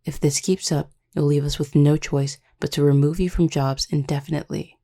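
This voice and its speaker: A protective female pack leader acted by a woman in an audio drama, with a low, mellow voice. She speaks in a measured, authoritative way, firm but caring, and her delivery stays level with a gravelly edge. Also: steady tone. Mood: stern, caring. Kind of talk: audio roleplay acting.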